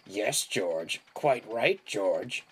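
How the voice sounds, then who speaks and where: A man's voice: medium pitch, animated, unhurried, fairly bright tone, breathy edge, exaggerated voice. A man voicing a grumpy, scornful male steamroller with a growling, sniffy manner in a children's story. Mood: mocking.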